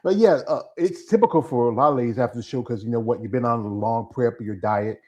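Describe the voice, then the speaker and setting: A man's voice: mid-range voice, quick pace, a little mellow. A man hosting an interview, rambling and leaning on "you know". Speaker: interview host. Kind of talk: casual interview chat.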